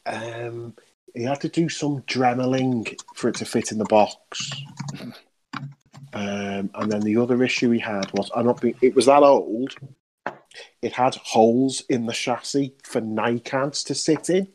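A man's voice: mid-range voice, fairly even intonation, unhurried, a little mellow, a little hiss or hum, low-quality recording. A man hosting a podcast, chatting casually in a jokey, teasing, self-deprecating way. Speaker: podcast host. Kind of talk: casual chat.

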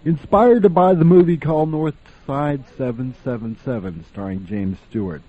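A man's voice: mid-range voice, moderate pace, mellow. A man hosting an old-time radio program, casually introducing and commenting on vintage shows. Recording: thin, phone-like sound.

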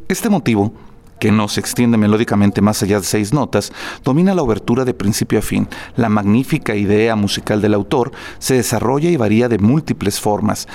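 A man's voice: fairly low pitch, fairly quick, vocal fry, darkish tone. A man presenting spoken program notes before a classical concert, reading prepared text with fillers and restarts.

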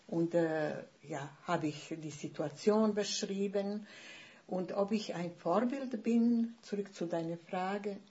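Bosnian-accented woman in her sixties, podcast guest, slow and halting, dull lo-fi sound. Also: on the low side, darkish tone. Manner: hesitant, searching for words, many fillers. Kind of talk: podcast conversation.

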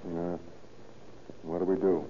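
An actor playing a smooth-talking criminal accomplice in an old radio crime drama. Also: male voice, deep voice, little pitch movement, slow pace. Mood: conspiratorial. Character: crook's smooth-talking accomplice posing as engineer. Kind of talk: 1940s radio acting. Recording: dull old radio, faint noise.

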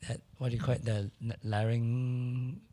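A middle-aged man with a hoarse voice, speaking candidly as a podcast guest in casual conversation. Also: mid-range voice, little pitch movement, normal speed, mellow, dry recording. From Malaysia. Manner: candid, matter-of-fact, occasional restarts.

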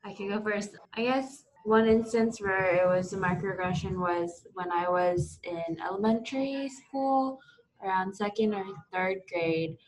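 A woman's voice: medium pitch, fairly even intonation, unhurried, poor audio. A young woman on a discussion panel, telling a personal story casually with many fillers. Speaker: panel guest.

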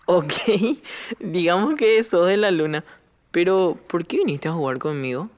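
A woman's voice: fairly low pitch, animated, unhurried, darkish tone. A woman playing a lonely, skeptical ten-year-old boy in an audio drama. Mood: incredulous.

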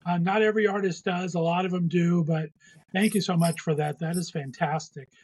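Effusive, husky-voiced podcast host. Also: medium pitch, fairly even intonation, brisk. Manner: effusive, enthusiastic, warm praise. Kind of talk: casual interview questions.

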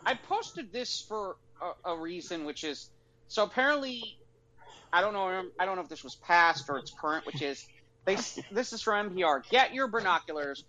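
A man's voice: high-pitched, expressive, moderate pace, pushed and bright, poor audio. A man co-hosting a casual podcast chat, joking and riffing on news items. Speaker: podcast co-host.

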